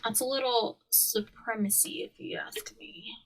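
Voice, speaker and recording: A woman's voice: on the high side, measured pace, low-quality recording. A woman from Hawaii chatting casually as a podcast co-host, opinionated and slangy. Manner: opinionated, slangy, often laughing. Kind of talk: casual conversational chat.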